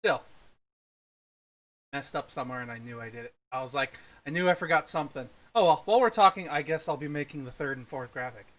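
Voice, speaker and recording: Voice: medium pitch, lively intonation, fast, fairly bright tone. A podcast host chatting casually about films on a livestream, in a teasing, bossy way.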